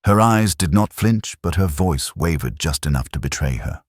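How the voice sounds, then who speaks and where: A man giving a measured, deliberate first-person reading of a literary detective story, as its narrator. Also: a bass voice, lively intonation, no echo, studio quality.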